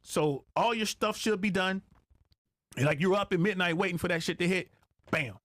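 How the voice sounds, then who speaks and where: A man's voice: sits high, normal speed, fairly bright tone, no echo. A middle-aged man chatting casually as a podcast guest, slangy and emphatic, repeating phrases to drive points home. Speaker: guest, retired air traffic controller.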